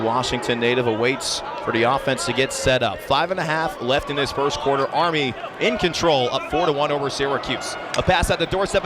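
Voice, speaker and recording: A man doing live lacrosse play-by-play on radio as a sportscaster, describing the action urgently. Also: medium pitch. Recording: very loud crowd and arena noise. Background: loud game crowd.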